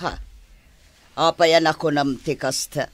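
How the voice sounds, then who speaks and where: An actress playing a defiant, scheming mistress of a crime boss in a radio drama, with a taunting, theatrical manner. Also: female voice, sits low, fairly even intonation, unhurried, no echo. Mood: mocking. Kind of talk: Ilocano radio drama acting.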